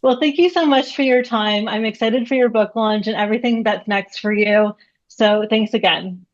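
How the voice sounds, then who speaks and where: A woman's voice: fairly high pitch, fairly even intonation, moderate pace. Upbeat woman interview host, muffled sound. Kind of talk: interview hosting.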